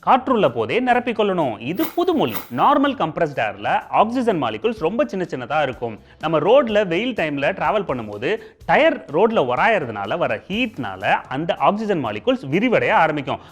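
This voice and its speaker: A male TV host with a Tamil Nadu accent and a fairly high voice. His pitch moves around in a very lively way as he explains facts in a conversational style, talking straight to the viewer. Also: a little fast. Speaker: TV show host. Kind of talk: informational presenting in colloquial Tamil with comic asides.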